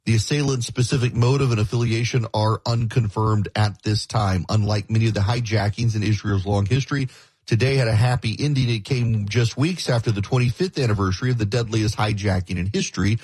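A middle-aged man speaks as a talk radio host in a fairly low register. His voice is a little husky, recorded very dry with no room sound. He mixes news commentary with personal asides in a loose, conversational monologue. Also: steady tone, brisk, a little mellow, no room sound. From grew up in Dubai.

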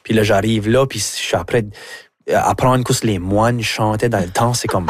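A man's voice: lowish voice, moderate pace, slightly rough, no room sound, studio quality. A man with an Acadian French accent, a musician chatting casually in an interview, telling anecdotes peppered with English words. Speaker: musician guest.

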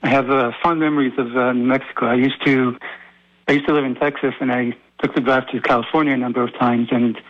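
A man's voice: medium pitch, steady tone, quick pace. A man who grew up in Israel, a history professor, speaking politely as a guest over the phone on a radio show. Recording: dull phone-line sound.

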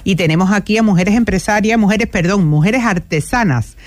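A woman co-hosting a radio chat who speaks slowly and stops to search for words. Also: mid-range voice, dry recording.

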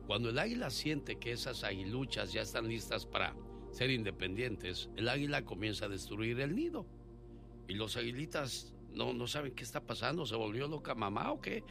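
Man, radio call-in host and storyteller, very lively melodic delivery over clear background music. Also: medium pitch, normal speed.

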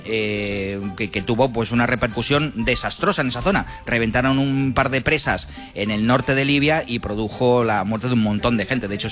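A man's voice: medium pitch, fairly bright tone. A man with an accent from Spain giving a conversational, colloquial weather forecast as a forecaster. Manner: colloquial, folksy diminutives.

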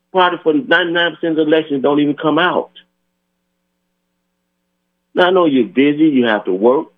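A hoarse man hosts a community radio talk show. He speaks earnestly and directly to his listeners, leaning on filler words as he urges them on. The audio is thin and tinny, like a phone line. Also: fairly high pitch.

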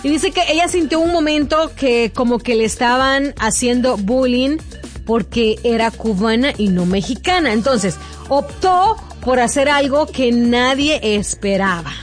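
A woman's voice: fairly high pitch, expressive, a little slow, faint music behind. A woman hosting a radio gossip segment, chatty and playful, laughing often as she tells the news. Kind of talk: casual celebrity gossip chat.

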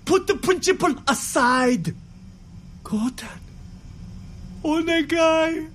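A man's voice: very high voice, lively intonation, takes it slowly, a bit bright, pitched above the performer's own voice, heavily acted. A man voicing a heartbroken elderly mother. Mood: pleading. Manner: begging, then weeping. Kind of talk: one-man stage play.

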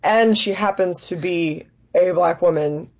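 A woman from Denmark, an actress and writer, answering interview questions in a thoughtful, measured way. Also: fairly low pitch, a little mellow. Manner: thoughtful, measured, searching for words.

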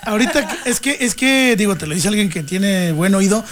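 A man with a notably high voice and a Mexican accent interviews in a loose, joking way. He teases and gushes, with noise audible behind him. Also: expressive, fairly quick. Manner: playful, teasing, gushing. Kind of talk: casual joking interview chat. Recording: background noise.

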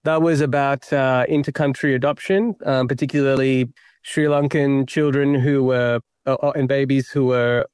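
Give me a smooth-voiced young Australian man. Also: medium pitch, fairly even intonation, normal speed, darkish tone, dry recording, clean studio sound. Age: young adult. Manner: reflective and self-deprecating, many fillers and restarts. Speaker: playwright guest. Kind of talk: interview.

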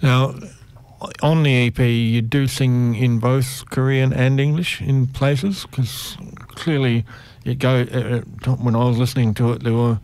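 A man's voice: medium pitch, steady tone, measured pace, darkish tone, no echo. A man working as an interviewer, asking casual questions in a conversational way and rephrasing them as he goes.

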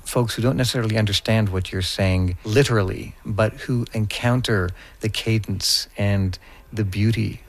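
A male curator explains the event in a low voice. A gravelly texture runs through his speech as he talks articulately and steadily. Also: fairly even intonation, moderate pace, dry recording. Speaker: arts curator. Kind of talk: explanatory interview.

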